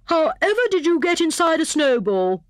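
A woman voicing an excitable, pompous male hare in a children's storybook, with exclamatory, drawn-out, self-important declaiming. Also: high-pitched, no room sound, clean studio sound, pitched above the performer's own voice. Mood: pompous wonder. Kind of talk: children's storybook character reading.

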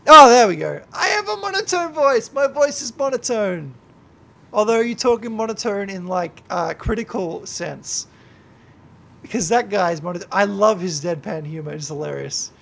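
A drunk young man rambling and swearing through a gameplay livestream, yelling at the game now and then. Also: high voice, lively intonation, normal speed, gravelly. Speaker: YouTuber and streamer.

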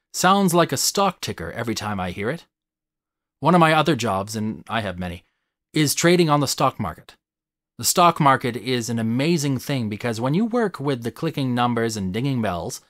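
Male essay narrator, mid-pitched, articulate and measured, recorded close and dry with emphasis on key words. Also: a bit bright, no room sound. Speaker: video essayist. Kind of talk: scripted narration, analytical video essay.

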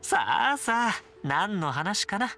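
A man's voice: high voice, very animated, slow pace, creaky, sharp tone, faint music behind, full character voice. An actor voicing a playful, slyly friendly young man in an anime game, breezy and teasing.

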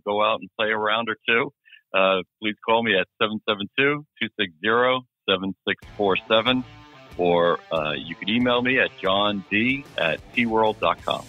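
A man pitching a business listing as a guest, halting with many fillers. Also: faint music behind. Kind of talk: sales pitch. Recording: phone line.

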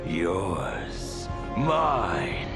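Game villain: gloating mad titan, very slow, swooping delivery, mock-grateful, theatrical. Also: male voice, deep voice, huge pitch swings, full character voice. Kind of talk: video game cutscene acting. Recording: loud dramatic music behind.

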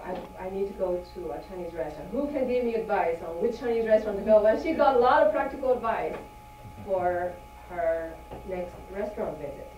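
A woman's voice: medium pitch, warm tone. A woman hosts a club meeting in a chatty, encouraging way. She is recorded from far off in an echoey room. Background noise runs under her voice. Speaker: meeting host, toastmaster of the day. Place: meeting room with long tables. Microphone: far camera.

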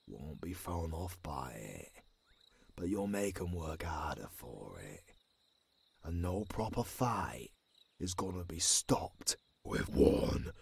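A man with a British accent playing a tough old werewolf mentor in an audio roleplay, gruff but caring, with a growling, raspy voice and a commanding manner. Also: a bass voice, full character voice. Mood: gruff instructing, then intense coaching. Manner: slow, deliberate, commanding.

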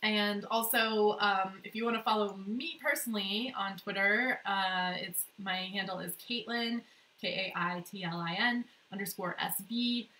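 Casting: American woman, livestream host, mid-pitched and bright, slightly congested from a cold, halting casual delivery. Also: rough recording. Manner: informal, halting, improvised. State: sniffly with a cold. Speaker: livestream host, national director of an advocacy group. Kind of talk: casual livestream chat to viewers. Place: corner of a kitchen in a house, indoors.

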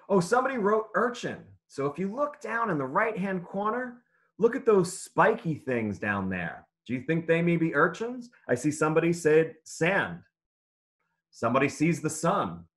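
A man's voice: fairly high pitch, sing-song, unhurried, sharp tone. A man reading aloud and teaching young children in simple, encouraging, instructive phrases during an online lesson. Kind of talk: interactive children's read-aloud lesson over video call.

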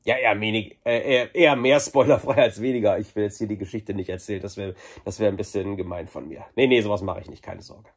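A man in his forties, chatty and enthusiastic, talking casually through an unboxing review with a slightly husky voice. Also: lowish voice, fairly even intonation, fast talker, few pauses. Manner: chatty, enthusiastic fan talk. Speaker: video reviewer.

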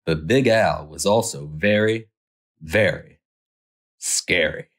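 A man's voice: low voice, slow pace, a bit bright, soft background music. A man narrating a children's picture book as a storytime host, expressively, drawing out key words for effect.

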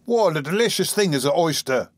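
A man's voice: on the high side, animated, fairly quick, no echo, pitched above the performer's own voice. A man voicing a tipsy, lazy and cowardly young man with uneducated, whining speech, in an audiobook. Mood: tipsy, smug. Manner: uneducated dropped-consonant speech, blubbering excuses. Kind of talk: audiobook character voice.

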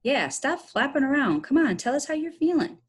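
A woman's voice: high-pitched, sing-song, fairly quick, voice pushed up. A woman voicing a nervous, wary young boy in a storybook, hesitant and stammering, repeating words. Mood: worried. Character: nervous, wary boys urging on and doubting their friend.

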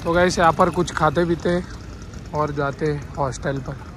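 Hoarse young man vlogging from a bike. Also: fairly high pitch, steady tone, moderate pace, a bit bright. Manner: chatty, addresses viewers as guys and brother. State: hoarse from dust. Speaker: motovlogger. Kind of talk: casual motovlog narration. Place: outdoors on busy city streets with traffic. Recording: noisy outdoor street recording. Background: traffic and motorcycle engine noise.

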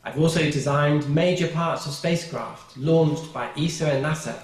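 Professor guy reading a formal statement, highish voice, echoey room. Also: moderate pace. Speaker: engineering professor. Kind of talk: scripted video testimony.

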